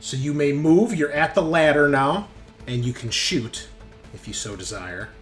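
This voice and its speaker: A man's voice: fairly high pitch, a little slow, soft background music. A man working as game master in a tabletop roleplaying game, casually explaining rules and narrating the action step by step in an informal way.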